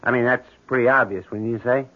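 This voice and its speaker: A man's voice: mid-range voice, steady tone, fast talker, lightly acted. Man as eerie matter-of-fact handyman, 1970s radio drama; mid-range voice, narrow tinny audio. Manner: casual, matter-of-fact, brooding. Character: odd, morbid building handyman obsessed with ghosts. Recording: thin, tinny old radio broadcast.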